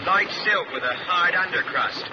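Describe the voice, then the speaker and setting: An actor playing a brisk professional male deep-water diver reporting by talkback in a muffled, noisy old radio drama. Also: expressive. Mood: businesslike.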